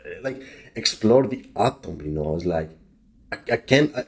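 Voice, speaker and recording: Low-voiced Greek man, halting speech. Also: lively intonation, creaky, a little mellow. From Greece. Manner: halting, many restarts and fillers. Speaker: podcast guest, physicist. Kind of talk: casual interview chat.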